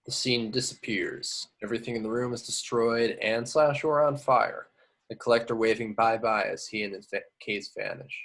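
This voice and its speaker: A man's voice: mid-range voice, moderate pace, a bit bright, poor audio. A man reading scripted action descriptions as narrator at an amateur table read. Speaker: reader of stage directions.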